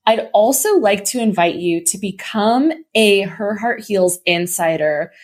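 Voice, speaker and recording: A woman hosting her own podcast in a casual, upbeat way, her voice slightly hoarse. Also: mid-range voice, unhurried, a little mellow. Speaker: podcast host. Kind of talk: casual solo podcast talk.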